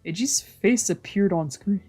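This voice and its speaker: A woman's voice: medium pitch, unhurried, warm tone, husky, soft background music. A woman streamer reading a visual novel aloud as narration, with casual commentary of her own.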